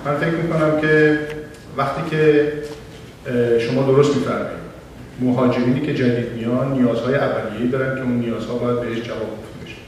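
A middle-aged man on a panel, answering an audience question in a measured, explanatory way with some hesitations, speaking into a handheld mic in a library auditorium. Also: mid-range voice, steady tone. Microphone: handheld mic, heard from far camera. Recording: echoey auditorium from far off, faint hiss.

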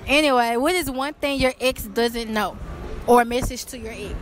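A woman's voice: fairly high pitch, normal speed, background noise. A young woman interviewing strangers in a shopping mall on her phone, teasing and pushing them to answer. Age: young adult. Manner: teasing, pushy, laughing. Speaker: street interviewer. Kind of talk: casual street interview. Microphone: phone held out.

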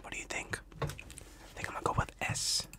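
A man's voice: brisk, sharp tone. A man whispering through a casual study-with-me ASMR roleplay, chatting in slangy asides to the listener. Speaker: ASMR creator.

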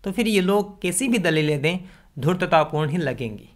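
A man in his twenties with a slightly high voice gives scripted opinion commentary. He speaks emphatically and argues his points, close to a desk microphone in a small indoor setup. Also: expressive, normal speed. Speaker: video commentator. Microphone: desk condenser microphone, close.

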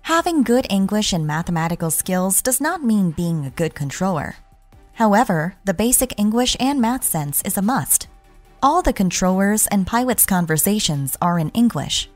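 A woman reading scripted explainer narration in a measured, instructional way. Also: mid-range voice, dry recording. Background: background music.

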